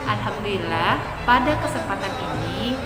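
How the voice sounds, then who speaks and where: A woman kindergarten teacher greeting children slowly and formally, recorded from a distance in a large room. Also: medium pitch, expressive, loud music behind the voice. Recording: echoey hall, distant from mic.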